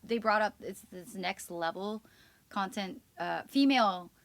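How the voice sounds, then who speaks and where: A woman, a gaming creator guest, talking slowly and casually on a podcast panel with many fillers. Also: mid-range voice, creaky, no room sound. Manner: slow, filler-heavy, trailing off.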